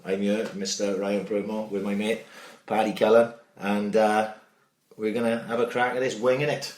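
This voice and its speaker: Middle-aged podcast host, brisk sweary storyteller, deep voice, roomy distant sound. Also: male voice, level tone, a little mellow. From Wales. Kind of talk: casual chat. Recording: echoey room, distant mic.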